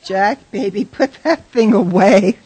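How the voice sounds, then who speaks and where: A woman acting the part of a touchy, playful wife in a radio drama, with a husky voice. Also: mid-range voice, slow pace, mellow, no echo. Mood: coaxing, welcoming. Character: touchy, playful, anxious wife of a bar owner.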